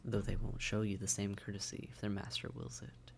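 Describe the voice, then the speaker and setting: A man playing a taunting, predatory vampire in a roleplay audio drama, with mock-tender menace and breathy, coaxing speech. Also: fairly low pitch, completely flat delivery, brisk. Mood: silky, gloating. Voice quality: breathy, often whispered, creaky. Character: silky, taunting, predatory vampire toying with captive hunter. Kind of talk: immersive roleplay audio drama, often whispered.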